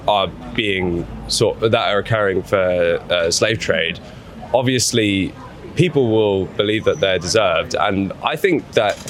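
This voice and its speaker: A young man being interviewed in a casual street conversation, hesitant, with many fillers and restarts. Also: fairly low pitch. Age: young adult. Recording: crowd noise of a busy street.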